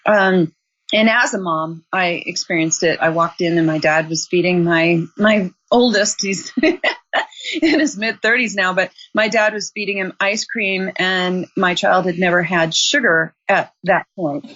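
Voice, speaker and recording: Brief: woman in her 60s, guest author, earnest and measured, slightly muffled audio. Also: on the low side, a bit bright. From Montana. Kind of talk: advice in an interview.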